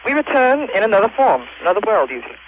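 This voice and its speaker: A man's voice: high-pitched, big pitch swings, a little hiss or hum. A young man faking a solemn cult grand master. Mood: cryptic. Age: young adult. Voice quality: affected put-on accent. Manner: slow, solemn, evasive pronouncements. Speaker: caller. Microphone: phone call into radio show. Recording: muffled phone line on radio.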